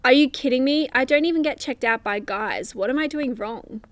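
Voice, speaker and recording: An actress playing a sassy, teasing young superhero speedster in a comedy radio play, talking conversationally. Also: female voice, sits high, normal speed, no echo, close to an everyday voice. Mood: teasing disbelief.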